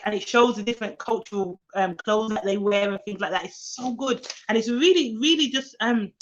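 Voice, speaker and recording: A woman talks casually on a live stream, rambling with plenty of fillers and restarts. Her pitch sits in the middle for a woman but leaps up when she quotes children. The audio is a little dull and lo-fi. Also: fairly bright tone. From England, grew up in London. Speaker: nanny hosting a live stream.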